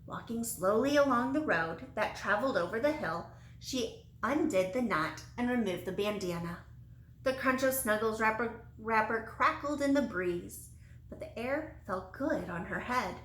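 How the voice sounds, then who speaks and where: A woman reading a children's storybook aloud in an expressive, animated way with dramatic emphasis. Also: a little high, measured pace, a little hiss or hum. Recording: roomy, echoey sound.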